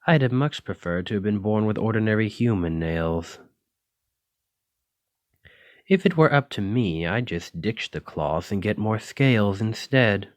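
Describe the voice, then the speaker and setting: A man's voice: on the low side, animated, normal speed, few pauses, dry recording, close to an everyday voice. A man playing a flirty, possessive dragon-blooded librarian in an intimate ASMR roleplay, with a teasing, coy way of drawing out his phrases. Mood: chatty.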